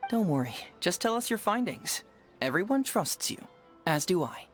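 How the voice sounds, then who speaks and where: A man voicing a clever young detective in a video game, smooth and playful with some quiet self-doubt, phrasing his words with care. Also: high voice, big pitch swings, moderate pace, faint music behind. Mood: calm, breezy.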